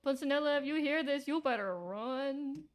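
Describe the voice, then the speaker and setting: A woman giving casual, slangy live commentary as she streams a game, irreverent and quick to swear. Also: sits high. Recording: game music behind.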